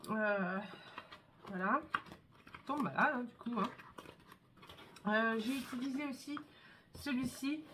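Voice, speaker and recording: A woman with a cold showing her scrapbook albums in a casual, chatty way, with many fillers and pauses. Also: a little high, background noise. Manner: chatty, hesitant, many fillers and "hop, voilà". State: has a cold, congested. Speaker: scrapbooking vlogger. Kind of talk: casual show-and-tell craft video.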